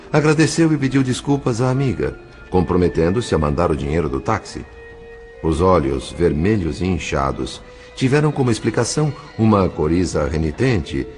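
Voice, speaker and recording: A man's voice: low-pitched, a little mellow. A man playing a solemn, compassionate narrator in an audio drama, reading literary prose expressively. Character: solemn, compassionate male spirit narrator of a story.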